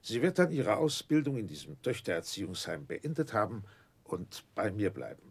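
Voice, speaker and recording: A snobby formal gentleman lawyer in an old radio play, gravelly low voice, bit of noise. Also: sing-song, normal speed, exaggerated voice. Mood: courteous, formal. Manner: pompous, formal, self-satisfied. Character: pompous, class-proud widowed gentleman proctor, courteous employer. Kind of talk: 1960s German radio drama acting. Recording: 1960s radio recording, faint noise.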